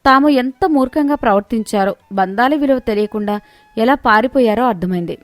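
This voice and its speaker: A woman narrating a scripted moral story in an expressive, measured way. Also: on the high side, no room sound.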